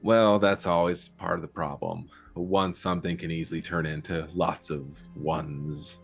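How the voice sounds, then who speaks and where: A man voicing a shady, cigarette-smoking man who asks for dark work with calm, quiet menace. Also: deep voice, measured pace, vocal fry, soft background music. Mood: sinister.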